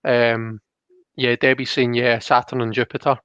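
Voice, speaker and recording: A young man chats as a live stream host, with an ordinary mid-pitched voice. He rambles and thinks aloud in a casual way. The sound is dry and slightly dull. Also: flat delivery, normal speed, fairly bright tone, clear, resonant tone, no echo. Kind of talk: casual chat, reading out viewer comments.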